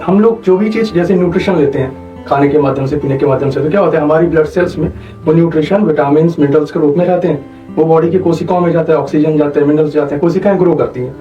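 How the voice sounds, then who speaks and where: Man, high mellow voice, didactic doctor, echoey distant recording. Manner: didactic, repeats points, checks understanding. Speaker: doctor, interview guest. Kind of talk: explanatory medical interview. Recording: dull, echoey, distant mic, faint music behind.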